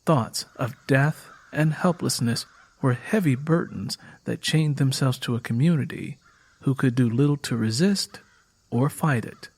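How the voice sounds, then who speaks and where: A man's voice: mid-range voice, breathy edge. A man reading scripted, dramatic fiction as an audiobook narrator.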